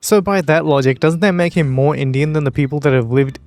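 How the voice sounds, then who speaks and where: A man's voice: fairly high pitch, a little fast, a little mellow, no echo. A man hosting a reaction video, commenting with wry, blunt amusement. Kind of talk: casual commentary over a video.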